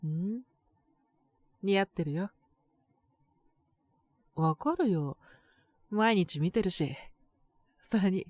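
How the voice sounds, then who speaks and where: Woman acting a low-voiced, slow, boyish teasing girlfriend. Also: no echo. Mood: affectionate. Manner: teasing, soft, intimate, affectionate. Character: boyish, slightly mean, doting teenage girlfriend. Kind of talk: Japanese romantic situation voice acting.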